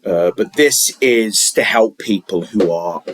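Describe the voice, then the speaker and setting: A man talking unscripted, giving wellness advice in a halting, rambling way with many restarts and fillers. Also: fairly low pitch, a little hiss or hum.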